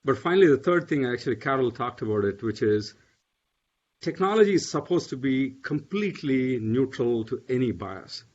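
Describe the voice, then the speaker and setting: A man's voice: mid-range voice, animated, moderate pace, darkish tone. A man speaking on a panel, hesitant, with many fillers and restarts. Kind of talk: panel discussion. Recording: dull lo-fi audio.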